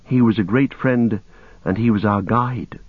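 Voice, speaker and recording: A man voicing a young male hobbit who speaks quietly and sadly. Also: fairly low pitch, a little slow, slightly rough, dry recording. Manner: quiet, downcast. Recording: tinny phone-like dry audio.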